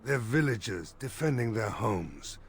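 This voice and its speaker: An actor playing a weary, worried village mayor in a fantasy game, with game music behind him. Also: male voice, fairly low pitch, very animated, measured pace, slight background noise. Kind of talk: video game voice acting.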